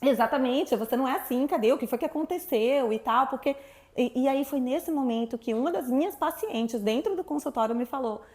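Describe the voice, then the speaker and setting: A woman from Salvador speaking, her voice sitting a little high. She talks freely and expressively, an easy storyteller chatting as an interview guest. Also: a little fast, darkish tone. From Salvador, Brazil. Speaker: guest, sexuality professional. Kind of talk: casual interview chat.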